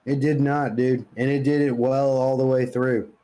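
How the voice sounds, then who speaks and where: A man's voice: medium pitch, little pitch movement, moderate pace, mellow, clean tone. A man co-hosting a podcast, giving blunt opinions in casual, slangy, sweary talk. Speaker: podcast co-host.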